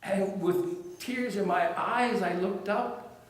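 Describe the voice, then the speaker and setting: A man playing an ancient woman disciple in a church monologue, emotional and halting, his voice breaking with tears. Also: sits high. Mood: awestruck. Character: grieving then overjoyed woman disciple, ancient. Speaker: church drama performer. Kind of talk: dramatic first-person monologue in church. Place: church sanctuary. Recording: echoey large church hall.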